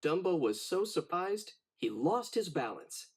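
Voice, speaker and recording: A man narrating a children's storybook in an expressive, dramatic read-aloud style. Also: high voice, a little slow, husky.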